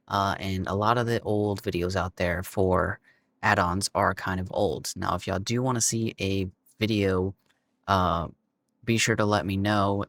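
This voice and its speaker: Low gravelly-sounding sick guy, raspy, chill game setup walkthrough, dry close audio. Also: no echo. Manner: relaxed, frequent "uh" and "um", addresses viewers as y'all. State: hoarse from illness. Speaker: gaming video creator. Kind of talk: casual screen-recorded game walkthrough.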